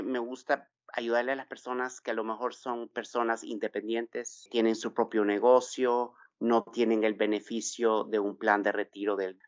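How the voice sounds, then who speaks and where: A middle-aged man from El Salvador, raised in Texas, speaks in a low voice as a podcast guest on finances. He explains things steadily, pausing on "eh" as he thinks. The audio is dull and dry, with no room sound. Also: no room sound. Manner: measured, explanatory, with filler "eh". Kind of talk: podcast interview.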